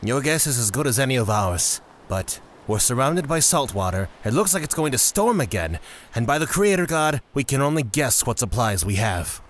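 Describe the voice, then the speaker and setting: A man's voice: medium pitch, big pitch swings, moderate pace, a little hiss or hum, close to an everyday voice. A man playing a pious, commanding warrior castaway in a fantasy audio drama, with an urgent, formal delivery. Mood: urgent, resolute.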